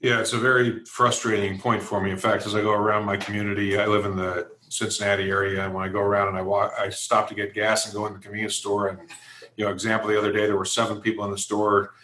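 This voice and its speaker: Male interview guest, American accent. Fairly deep, level pitch, emphatic plain talk, recorded in an echoey room. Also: level tone, fast talker, bright, forceful tone. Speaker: interview guest, events company executive. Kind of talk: conversational interview answers.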